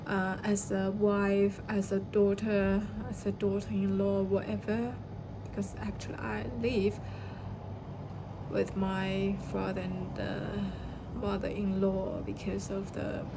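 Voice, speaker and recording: Slow, hesitant Chinese-native woman. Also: medium pitch, creaky. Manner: slow, hesitant, with many fillers and restarts. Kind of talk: personal reflective monologue. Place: outdoors by the sea. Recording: noisy outdoor ambience.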